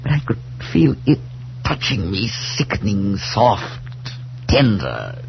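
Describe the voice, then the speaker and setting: An actor playing a terrified older professor losing his grip, speaking in halting, breathless phrases in a 1940s radio drama. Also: on the low side, huge pitch swings, creaky, exaggerated voice. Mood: horrified. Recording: muffled, noisy 1940s radio recording with hum.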